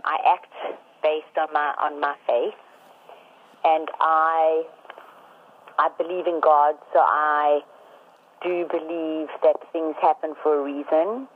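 A man answering an interview question hesitantly, with many pauses and fillers. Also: on the high side. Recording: tinny phone-like sound.

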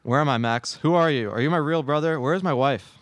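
A man's voice: a little high, fast talker, no room sound, close to an everyday voice. A young man playing an urgent, bewildered character in a radio theatre trailer parody. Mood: confused alarm. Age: young adult. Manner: urgent, dramatic. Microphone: microphone on stand.

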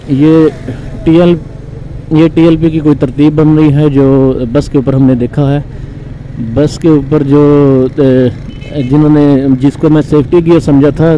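A man from Pakistan, calmly narrating a motorcycle ride through his city with many pauses and fillers. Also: a little high. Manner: calm, unhurried, pausing with frequent fillers. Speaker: motorcycle vlogger. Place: on a motorcycle on a city road, outdoors. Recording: dull lo-fi sound with road and traffic noise.

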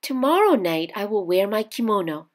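A woman's voice: medium pitch, sing-song, moderate pace, voice pushed up, close to an everyday voice. A woman reading a storybook as a proud, curious, easily flustered young woman, emphatic and questioning. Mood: spirited.

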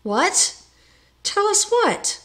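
A woman voicing an excitable, gullible older carpenter in a storybook tale, pious, proud and given to blustering exclamations. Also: a little high, measured pace. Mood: excited, alarmed.

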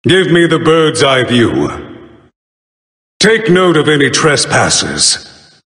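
A bookish, bird-headed god who judges the dead in a video game. He speaks slowly and formally, with sweeping melodic swings and dry wit. The sound rings with long reverb. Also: on the high side, wildly swooping pitch, a bit bright, full character voice. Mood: solemn, assured. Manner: grand, formal, theatrical delivery with dry wit. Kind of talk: video game character voice lines. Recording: roomy studio voice with long reverb.

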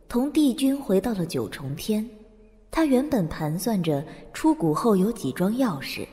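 A woman tells a fantasy story as narrator of an audio drama. Her mid-pitched voice is mellow and lively in its rise and fall, with a long reverb.

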